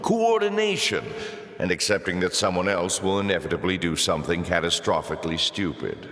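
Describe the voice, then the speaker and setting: A sardonic old fallen angel speaks from within a long, echoing reverb. His somewhat low voice swings dramatically up and down, savouring each phrase with mock courtesy. He sounds like a darkly amused video game villain. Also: pushed and bright, full character voice. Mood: dry sardonic. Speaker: mission announcer in a video game.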